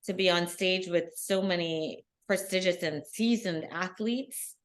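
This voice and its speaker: A middle-aged woman, a guest on an interview podcast, telling her story in an earnest, animated way. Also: fairly low pitch, unhurried. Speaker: guest, bikini athlete. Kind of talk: casual interview.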